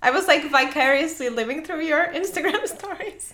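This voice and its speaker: A woman's voice: sits high, brisk, a bit bright, a little hiss or hum. A woman co-hosting a podcast, chatting casually and eagerly encouraging her guest. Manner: enthusiastic, encouraging, laughs a lot. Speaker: podcast co-host.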